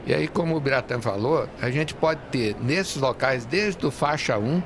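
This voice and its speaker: A man's voice: mid-range voice, expressive, normal speed. A middle-aged man, explaining things earnestly in a conversational interview, often rephrasing as he goes. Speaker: real estate industry association leader, podcast guest. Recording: busy trade fair background noise. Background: urban fair ambience.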